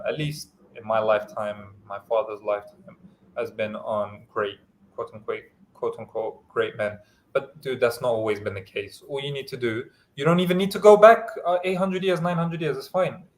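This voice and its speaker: Male host whose first language is Pashto, mid-pitched, relaxed and filler-heavy, casual livestream chat. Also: normal speed, a little hiss or hum. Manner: easygoing, many hesitant fillers.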